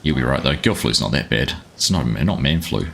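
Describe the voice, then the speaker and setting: A man's voice: extremely low pitch, animated, moderate pace, vocal fry, fairly bright tone, slight background noise. A man from New Zealand with a New Zealand accent, chatting casually with his live-stream viewers while playing a farming game, rambling and greeting them by name. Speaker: gaming livestreamer.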